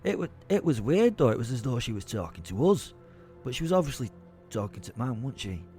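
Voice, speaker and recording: Man acting a rattled young bloke, agitated and halting. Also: medium pitch, sing-song, warm tone, faint music behind. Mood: rattled, bewildered. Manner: agitated, halting, self-questioning. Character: rattled young man on a stag night, plain-spoken. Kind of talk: dramatised first-person storytelling.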